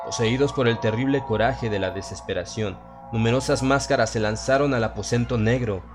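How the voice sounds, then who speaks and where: A man's voice: medium pitch, a little fast. A man with a Latin American Spanish accent reads a horror audiobook in a solemn, theatrical, dramatized style. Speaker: audiobook narrator. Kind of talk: dramatized scripted horror narration. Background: background music.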